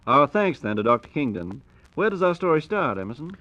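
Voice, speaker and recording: A man's voice: a little high, big pitch swings, fairly quick, fairly bright tone, dry recording. A man with an American accent presenting a scripted 1940s radio program in a polished, formal way. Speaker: radio host. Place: radio studio. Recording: dull, lo-fi 1940s radio recording.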